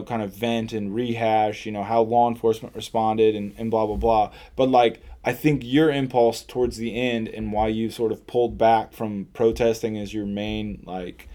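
A man hosting a podcast interview, talking in a relaxed, encouraging way with lots of fillers and quick affirmations. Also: on the low side. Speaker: podcast host. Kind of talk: casual interview.

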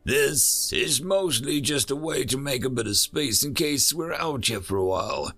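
A cocky, foul-mouthed soldier officer who throws orders around in blunt slang, as a man puts on a higher, livelier voice that jumps up and down in pitch. Bossy and brash, a storybook grunt. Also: animated, normal speed, sharp tone, soft background music. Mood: gruff bossy.

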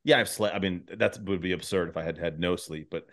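A man's voice: low voice, fast, fairly bright tone. A man hosting a podcast in casual conversation, rambling as he thinks aloud and swearing easily. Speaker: podcast host. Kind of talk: casual chat.

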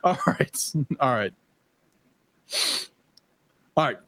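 A man's voice: mid-range voice, expressive, drawn-out words, a bit bright, no room sound, poor audio. A man co-hosting a podcast in casual chat, giving short replies and laughing often. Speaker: podcast co-host.

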